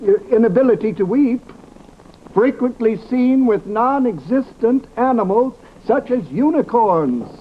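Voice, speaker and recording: An actor playing a fussy, credulous, dithering professor in an old radio comedy drama, hesitant and stammering. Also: male voice, very high voice, measured pace. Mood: alarmed, excitable. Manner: hesitant, stammering, fussily excitable. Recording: muffled, noisy old radio recording.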